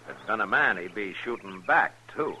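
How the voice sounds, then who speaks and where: An actor playing a steady, watchful marshal in a 1950s radio western, speaking in a dry, laconic way. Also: male voice, fairly low pitch, a little fast. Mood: firm, measured. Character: steady, watchful frontier town marshal, grown man. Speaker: lead actor. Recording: noisy old radio western recording.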